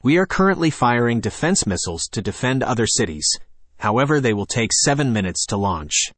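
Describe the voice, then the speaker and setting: A man's voice: mid-range voice, big pitch swings, a little fast, a bit bright, no room sound. A man playing a grim, formal official announcing an emergency to the nation. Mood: grave, resigned. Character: grim male official announcing an unstoppable missile attack.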